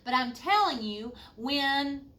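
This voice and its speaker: A fretful storybook hostess, played by a woman reader, protests in exasperation with drawn-out stresses. The voice is high and bright, with big pitch swings, heard in a roomy space. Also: lively intonation, exaggerated voice. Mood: protesting. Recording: roomy, distant mic, faint noise.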